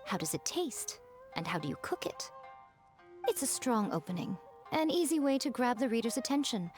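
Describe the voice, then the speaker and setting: Elegant fox spirit, slightly high voice, self-assured, teasing, poised, video game, soft background music. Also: female voice, very animated, moderate pace. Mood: amused, approving. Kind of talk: video game character voice acting.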